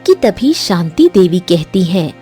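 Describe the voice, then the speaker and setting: A woman's voice: on the low side, big pitch swings, unhurried, mellow, faint music behind. A woman reading scripted narration for a children's story.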